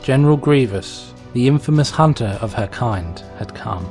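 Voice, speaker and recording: A man's voice: mid-range voice, expressive, moderate pace, a little mellow, soft background music. A man reading an action story aloud as narrator, in a dramatic scripted style. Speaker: fan fiction reader, narrator.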